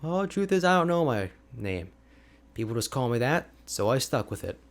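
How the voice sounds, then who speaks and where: Man acting as an energetic, friendly ice mage. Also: medium pitch, normal speed. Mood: encouraging, friendly. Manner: animated, chatty, informal. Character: energetic young male ice mage, friendly enthusiastic mentor. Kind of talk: ASMR roleplay audio drama, addressing the listener.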